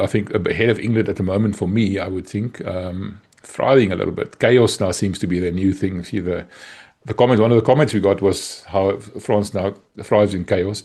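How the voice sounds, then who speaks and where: A man's voice: on the low side, dark tone. A man with an Afrikaans accent co-hosting a rugby podcast, talking in an easygoing, teasing way late at night, sounding a little tired. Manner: easygoing, teasing, thinks aloud. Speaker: podcast co-host. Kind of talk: casual chat.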